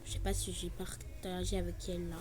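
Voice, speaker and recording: A woman with a French accent giving a casual room tour, stuffed up with flu and pausing often on "euh". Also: on the low side, flat delivery, darkish tone. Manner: halting, with "euh" fillers. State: congested with flu. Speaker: vlogger.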